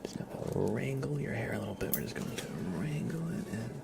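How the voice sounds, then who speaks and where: A man livestreaming ASMR, soft-spoken and playful, slipping between a murmur and a whisper as he chats and roleplays. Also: medium pitch, fairly quick, creaky. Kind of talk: ASMR live chat with roleplay, partly whispered. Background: scissor sounds.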